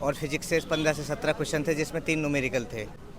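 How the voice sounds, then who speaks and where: A man's voice: a little high, steady tone, quick pace. A young man giving blunt opinions as an exam candidate in a casual street interview. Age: young adult. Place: outdoors on a busy street. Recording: outdoor crowd and traffic noise.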